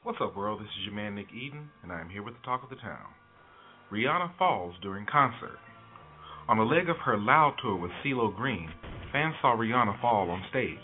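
A man hosting an entertainment news segment on an internet radio show, reading items in an upbeat newsreader way. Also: lowish voice. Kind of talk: read celebrity news segment. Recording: tinny phone-line internet radio with music.

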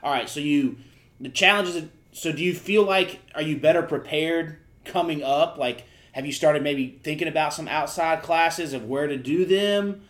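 A man hosting a podcast, laid-back and quick to laugh, asking questions in a halting, casual way. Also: a little high, fairly bright tone. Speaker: podcast host. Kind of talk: casual interview chat.

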